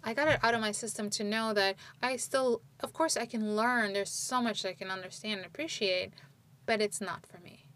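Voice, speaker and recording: A woman's voice: mid-range voice, normal speed, a bit bright. Chatty woman from Turkey in her forties. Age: 40s. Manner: rambling, restarts sentences, frequent "like". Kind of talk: casual conversation.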